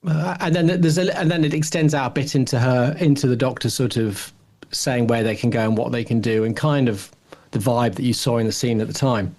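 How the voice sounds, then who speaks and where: A man's voice: mid-range voice, vocal fry, a little mellow. A middle-aged man chatting casually as a podcast co-host, musing and hedging in a rambling way. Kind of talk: casual chat.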